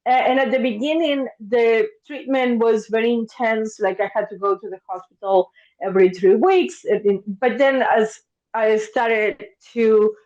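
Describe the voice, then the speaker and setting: Lively Argentine-born woman on a dull line. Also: unhurried. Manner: warm, animated, acts out her past thoughts in a higher voice. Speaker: podcast guest, therapist. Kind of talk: casual interview storytelling.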